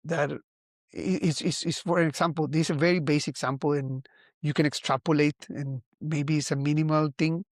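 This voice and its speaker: A man's voice: on the high side, steady tone, no room sound, clean studio sound. Musing Costa Rican man in his forties. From Costa Rica. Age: 40s. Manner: musing, halting, many restarts. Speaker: vlogger. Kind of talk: reflective casual monologue.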